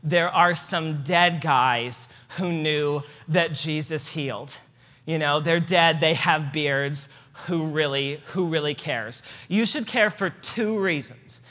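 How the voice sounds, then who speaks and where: A man's voice: on the high side, slow pace, sharp tone. A man with a Midwestern accent giving a casual history lecture with slides, chatty and joking, with fillers. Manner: conversational, joking asides, many fillers. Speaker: church history speaker. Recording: hall reverb.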